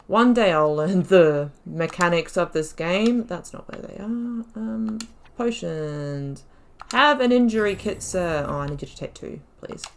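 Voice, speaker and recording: A woman's voice: on the low side, lively intonation, measured pace, gravelly, a little hiss or hum. A woman giving casual, self-deprecating commentary as she plays a video game.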